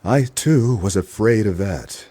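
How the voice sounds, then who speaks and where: A man's voice: fairly low pitch, animated, slow, mellow, husky, full character voice. An actor playing a wry, wisecracking hard-boiled male detective in a noir radio play, both narrating and in dialogue. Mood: teasing, friendly.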